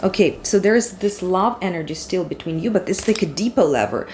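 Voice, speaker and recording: A woman's voice: fairly low pitch, expressive, brisk, a little mellow, slight background noise. A woman from Ukraine with a Ukrainian accent, doing a casual tarot reading in English as a non-native speaker, rambling and frank with casual swearing. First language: Ukrainian or Russian. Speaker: tarot reader.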